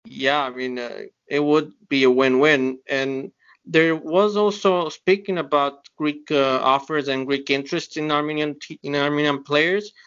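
A young man with a smooth, slightly raised voice chats casually about football on a podcast, trailing off and restarting his thoughts. The sound is dull and lo-fi. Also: fairly high pitch, fairly even intonation, a little slow, a bit bright. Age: young adult. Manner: easygoing, hesitant with fillers. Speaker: podcast co-host. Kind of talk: casual sports chat. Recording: dull lo-fi audio.

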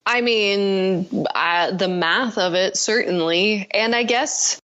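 A woman's voice: mid-range voice, slow, a bit bright, lo-fi sound. A woman guest on a podcast, talking casually and rambling with many fillers like "like" and "you know". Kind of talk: casual conversation.

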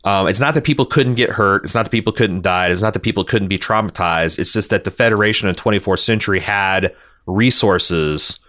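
A man with a fairly low voice hosts a casual discussion podcast. He thinks aloud, rambling and doubling back on his words as he works through an opinion or reads out feedback. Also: sharp tone. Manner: halting, rambling, repeats words as he reasons. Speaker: podcast host reading listener feedback.

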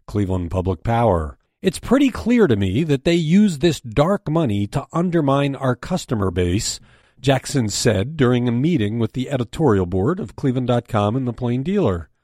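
A man's voice: medium pitch, sing-song, moderate pace, a little mellow, dry recording, studio quality. A man with an American accent reading a scripted news briefing as its host and editor.

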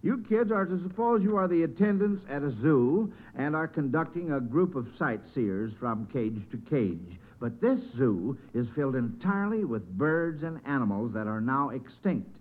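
High-voiced American vintage radio quizmaster. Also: male voice, expressive, moderate pace, few pauses. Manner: effusive, encouraging. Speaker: quiz show host. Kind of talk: old-time radio quiz hosting. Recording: thin, narrow vintage radio recording.

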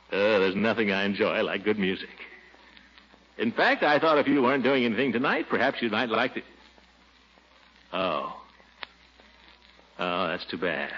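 An actor playing a pompous, easily flustered middle-aged uncle in 1940s radio comedy style. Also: male voice, fairly low pitch, slightly rough. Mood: coy, courting. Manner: pompous, exasperated, theatrical. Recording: muffled, noisy old radio broadcast.

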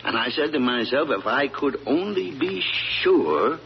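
A man's voice: mid-range voice, very animated, a little slow, creaky. An actor playing an older, stoic, folksy country father with a rural American accent, in an old-time radio drama. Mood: brooding, resolute. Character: weathered stoic rural hound-loving father, convicted of killing. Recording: dull, noisy old radio recording.

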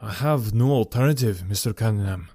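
A man's voice: lowish voice, a little fast, warm tone, no echo, studio quality. A man voicing an earnest, easily startled police inspector in audiobook dialogue, with an official, protesting manner. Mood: reluctant, formal.

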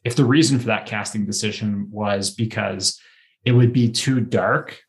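A man's voice: fairly low pitch, moderate pace. Thoughtful man in a podcast discussion. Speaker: podcast panelist. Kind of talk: casual analytical discussion.

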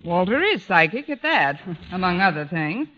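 An actress playing a wry high school teacher who tosses off dry sarcastic quips in a 1940s radio sitcom. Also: female voice, sing-song, moderate pace. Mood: dry, amused. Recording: old radio broadcast, dull, faint noise.

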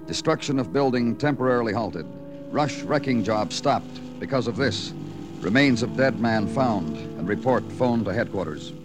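A man's voice: mid-range voice, lively intonation, moderate pace, natural voice. An actor playing a weary police detective who narrates his case in a terse, hardboiled classic radio drama style. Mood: brooding, poetic. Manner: terse, clipped, poetic when narrating. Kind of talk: 1940s-50s hardboiled radio drama acting. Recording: old radio recording with music.

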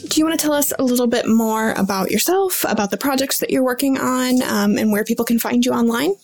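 A woman's voice: fairly high pitch, fast talker, soft background music. A woman co-hosting a tabletop game podcast, talking chattily with many ums and breaking into laughs. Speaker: podcast co-host. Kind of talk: casual podcast intro and chat.

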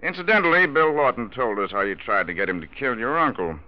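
An actor playing a tough, smug, plain-spoken police chief in a 1940s radio drama. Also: male voice, mid-range voice, animated, fast talker, natural voice. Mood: smug, stern. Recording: muffled old radio recording.

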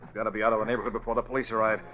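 A man playing a shrewd, decisive crime-fighter in an old radio drama, brisk and authoritative, with an American accent. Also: lowish voice, level tone, close to an everyday voice. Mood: brisk, urgent. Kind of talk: 1930s-40s radio drama acting. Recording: muffled old radio recording, faint music.

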